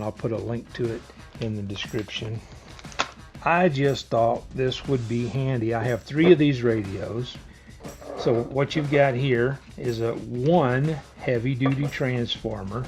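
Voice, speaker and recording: A man giving a casual hands-on product demonstration in a plainspoken, step-by-step explanatory way. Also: medium pitch. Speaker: product reviewer.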